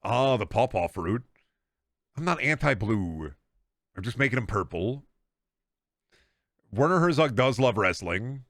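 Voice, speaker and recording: A man's voice: mid-range voice, expressive, a little slow, pauses often, a bit bright, dry recording, clean studio sound. A man from Iowa with an American accent chatting casually on a livestream, rambling and sardonic, repeating words for emphasis. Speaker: game streamer.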